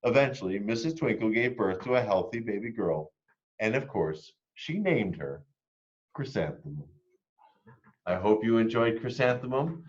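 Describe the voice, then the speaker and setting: A man reading a children's storybook aloud in an expressive narrating style. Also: on the low side, moderate pace, lo-fi sound. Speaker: storybook reader at an elementary school read-aloud.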